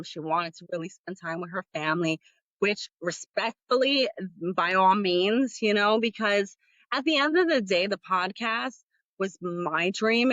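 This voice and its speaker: A woman's voice: medium pitch, a bit bright, no echo, highs cut off. A woman hosting her own podcast, talking casually and candidly, rambling with frequent fillers. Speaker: podcast host. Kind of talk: casual solo podcast talk.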